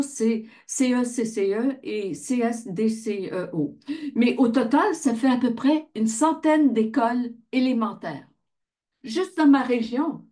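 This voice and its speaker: Mellow woman presenting. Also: a little high. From Ottawa region, Canada. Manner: conversational, asks and answers own questions. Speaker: founder of a volunteer reading association, presenter. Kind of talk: informal explanatory presentation.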